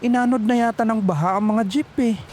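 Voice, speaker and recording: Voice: medium pitch, steady tone, fairly quick, darkish tone, a little hiss or hum, lightly acted. A performer playing a sassy, flamboyant call center agent who complains in dramatic slang. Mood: startled, sassy. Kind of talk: horror story dialogue.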